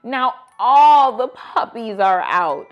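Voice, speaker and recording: A woman's voice: on the high side, animated, slow, lo-fi sound, pitched above the performer's own voice. A woman voicing a flustered but kindly female animal shelter director in a storybook, in an emphatic way. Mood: alarmed.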